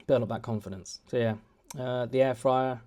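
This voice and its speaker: A man's voice: medium pitch, fairly even intonation, moderate pace, mellow, a little hiss or hum. A young man chatting at home in a casual vlog, rambling and self-deprecating with many restarts. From United Kingdom. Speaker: vlogger. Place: home, indoors.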